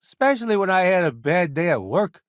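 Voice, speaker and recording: A man's voice: high voice, sing-song, no echo, studio quality. A man playing a vain, flamboyant columnist turned space crewmate in a video game, with a wry, theatrical, smug delivery. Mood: wry, chummy. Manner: theatrical, wry, smug banter with drawn-out emphasis. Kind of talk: video game companion dialogue.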